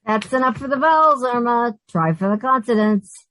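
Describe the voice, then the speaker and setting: A woman's voice: a little high, expressive, moderate pace, a bit bright, poor audio. An actress playing a wisecracking, exasperated but fond working woman in an old-time radio sitcom style, with wry delivery. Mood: sardonic, exasperated. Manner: wry, sardonic quips.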